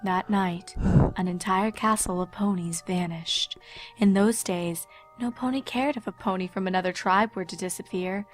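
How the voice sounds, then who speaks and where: Woman reading a creepy pony horror story, measured and ominous, mid pitch, music behind her. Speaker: storyteller, narrator. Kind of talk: scripted horror-tale narration.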